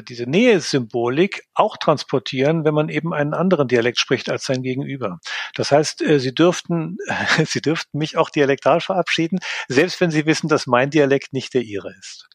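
Gravelly, husky man explaining carefully. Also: a little high, dry recording, clean studio sound. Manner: measured, hesitant with many fillers. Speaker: linguist guest. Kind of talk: expert interview answers.

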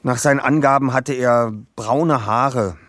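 A man's voice: medium pitch, a little slow, fairly bright tone, no echo, studio quality, lightly acted. A man playing a self-assured, bookish teenage detective leader in a German audio drama, speaking precisely and formally. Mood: businesslike. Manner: precise, bookish, matter-of-fact.

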